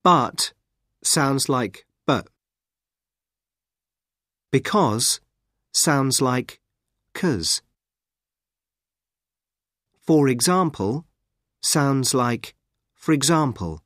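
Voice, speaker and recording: A man explaining pronunciation in a slow, careful, scripted delivery for a coursebook audio. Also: medium pitch, lively intonation, fairly bright tone, dry recording. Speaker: audio narrator. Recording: dry studio recording.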